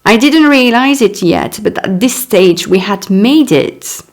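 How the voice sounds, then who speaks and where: A woman's voice: medium pitch, animated. A woman in her thirties whose first language is French, reading her own memoir aloud and stopping for chatty asides. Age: 30s. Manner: unhurried reading broken by chatty asides and little laughs. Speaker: author.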